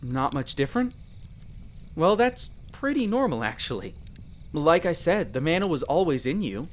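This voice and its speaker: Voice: medium pitch, very animated, moderate pace, slight background noise, lightly acted. A performer playing a friendly, protective young elf explorer in a roleplay audio drama, chatty and reassuring, speaking to the listener. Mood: warm, reassuring.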